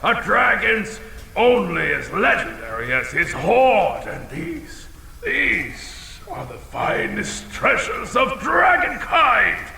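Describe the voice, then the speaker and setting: A man voicing a battle-scarred old dragon in an audio drama, fiercely proud and bombastic, with a gravelly, folksy delivery. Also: sits high, very animated, takes it slowly, bright, forceful tone, faint music behind, heavily acted. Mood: blustering, excited. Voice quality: gravelly, creaky. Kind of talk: audio drama character acting. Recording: reverberant chamber, some background noise.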